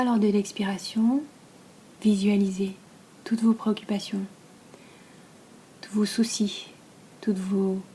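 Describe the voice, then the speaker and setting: A woman with a French accent from France leads a guided relaxation as a yoga nidra teacher, speaking softly, breathily and calmly, with long pauses between phrases. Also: mid-range voice, a little hiss or hum. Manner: soft, breathy, calm and soothing delivery.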